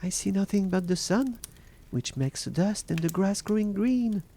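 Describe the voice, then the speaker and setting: A man's voice: high voice, lively intonation, moderate pace, mellow, a little hiss or hum, voice pushed up. A man voicing an anxious young woman, a fairy-tale sister calling out as a lookout. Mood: watchful. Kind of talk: dramatic fairy-tale storybook reading.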